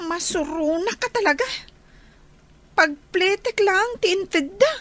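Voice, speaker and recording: A chatty young classmate in a radio drama. The voice is very high and youthful, with an Ilocano accent. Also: female voice, lively intonation, slow pace, a bit bright, no room sound, exaggerated voice. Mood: chiding. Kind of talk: Filipino radio drama acting.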